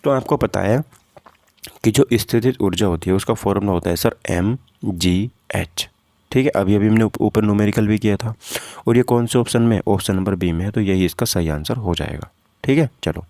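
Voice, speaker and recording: A man's voice: on the low side, vocal fry, warm tone, no echo. A man teaching informally, working through practice questions step by step and often asking questions that he answers himself. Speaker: physics teacher for exam preparation.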